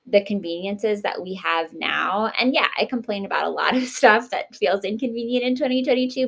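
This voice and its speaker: A woman's voice: medium pitch, creaky, a bit bright. A woman hosting a podcast, chatting casually and expressively about books with an emphatic way of talking. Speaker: podcast host. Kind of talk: casual book discussion.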